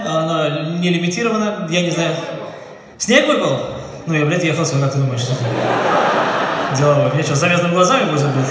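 Young guy comic roasting the front row, swears nonstop, high voice, sounds far off in a big echoey theater. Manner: blunt, mocking, swears a lot. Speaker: stand-up comedian. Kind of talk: crowd work in a stand-up set. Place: large dark theater stage. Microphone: handheld mic, filmed from far. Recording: echoey hall, distant, loud crowd. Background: audience laughter and noise.

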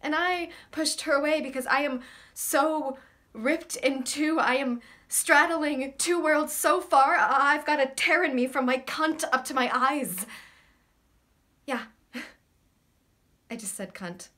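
A woman's voice: on the high side, takes it slowly, long pauses, a bit bright. An actress playing a torn, lovesick woman in an intimate theatrical monologue, earnest, hesitant and vulnerable. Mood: torn, raw. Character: torn, lovesick woman, scared yet tender and confessional.

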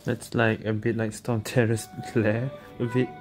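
A woman's voice: rumbling low, flat delivery, unhurried. A woman streamer giving casual commentary over a video game. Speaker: gaming streamer. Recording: game music behind the voice.

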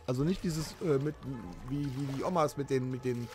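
A man's voice: mid-range voice, normal speed, a little mellow, soft background music. A middle-aged man co-hosting a podcast, explaining things to friends in casual banter. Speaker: podcast co-host.

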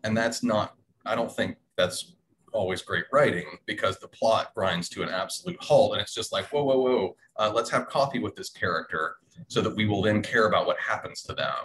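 Male, middle-aged, low register, reflective panel talk, faint noise on a video-call line. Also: normal speed, fairly bright tone. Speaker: panelist, audio drama writer. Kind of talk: unscripted panel discussion. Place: small room at home, indoors. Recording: noisy video-call audio.

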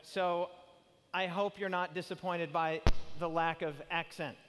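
A middle-aged male pastor speaking to a campus audience from a stage, telling jokes and stories in an animated way. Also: sits high, unhurried, background noise. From not Australian, lives in Australia. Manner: animated, joking storyteller with big gestures. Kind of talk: sermon with opening prayer. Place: large auditorium stage with audience. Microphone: headset mic. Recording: auditorium with long reverb and faint music.

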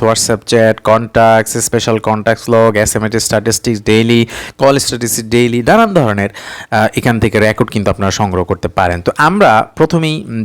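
A man with a medium-pitched voice presents an informal how-to. He walks through each step patiently and repetitively, posing small rhetorical questions. English words are sprinkled through his speech. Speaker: tutorial presenter. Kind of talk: informal step-by-step phone app tutorial narration.

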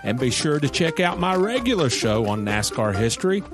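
A man's voice: fairly high pitch, big pitch swings, moderate pace. A man reading a scripted promo as a podcast host. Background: light music.

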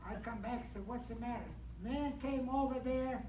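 An older man giving a personal testimony in church, halting and devout as he tells his story. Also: extremely high pitch, mellow. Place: large church sanctuary. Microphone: handheld mic, picked up by distant camera. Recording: distant, echoey, noisy church hall recording.

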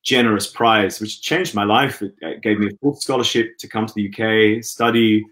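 A man in his thirties from Western Australia talks as a guest on a video call from his home. His voice sits fairly low, and he speaks loosely and hesitantly, often pausing to restart a thought. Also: steady tone, a bit bright. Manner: easygoing, hesitant, many ums and restarts. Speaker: guest, opera singer. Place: indoor room at home. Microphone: laptop webcam on video call.

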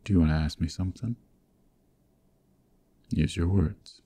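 A man playing a cold-hearted, commanding young mafia heir in a scripted ASMR roleplay, speaking sternly in a gravelly voice with clipped menace. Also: steady tone, unhurried, warm tone. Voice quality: gravelly, very deep.

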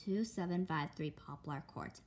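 A woman's voice: low-pitched, moderate pace, slight background noise. A woman reading a comic story aloud, acting out the dialogue and speaking the sound words. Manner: animated, acts out characters' dialogue.

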